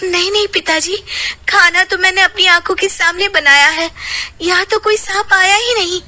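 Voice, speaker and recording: A woman voicing a gentle, distressed young daughter-in-law in a cartoon story, pleading respectfully and earnestly. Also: very high-pitched, steady tone, normal speed, voice pushed up, heavily acted. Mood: alarmed.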